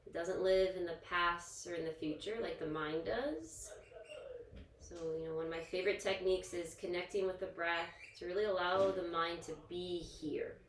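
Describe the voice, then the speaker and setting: A woman yoga instructor leading a guided relaxation in a soothing, gentle, informal manner, leaving pauses between instructions. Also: lowish voice. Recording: echoey, roomy sound.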